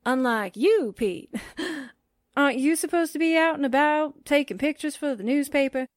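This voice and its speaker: A woman's voice: sits high, normal speed, dry recording, studio quality, lightly acted. A woman playing a skeptical, sarcastic newspaperwoman sidekick in a 1940s-style radio drama. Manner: sarcastic, pointed. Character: sassy, skeptical newspaperwoman sidekick with dry wisecracks.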